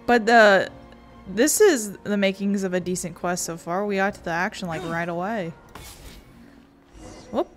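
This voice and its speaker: A woman comments casually on a video game as she plays. Her pitch is average, and faint music plays behind her. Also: measured pace, long pauses. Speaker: let's player.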